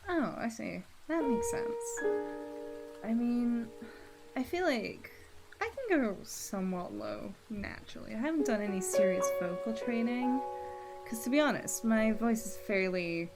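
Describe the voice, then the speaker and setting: A young woman chats on a drawing stream in a relaxed, unhurried way, trailing off with ums and soft laughter. Her pace is slow and easygoing. Music plays clearly in the background throughout. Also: a little high, low-quality recording. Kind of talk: casual chat while drawing. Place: home streaming setup. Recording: music under the voice. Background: music playing.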